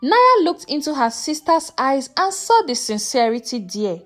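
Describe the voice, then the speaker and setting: High-pitched, slow female storyteller. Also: sing-song, a bit bright, faint music behind. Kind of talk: scripted folktale narration.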